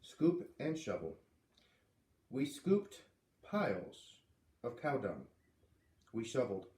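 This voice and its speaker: A man reading a children's book aloud, expressively, with dramatic pauses on key words. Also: medium pitch, sing-song, darkish tone. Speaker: read-aloud reader. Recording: echoey room, distant microphone.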